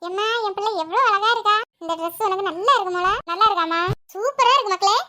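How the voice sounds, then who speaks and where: A performer with a very high voice playing a harsh, bossy stepmother in a home-made skit who scolds and gives orders in speech. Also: quick pace. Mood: coaxing. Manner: shrill, bossy, shouts orders.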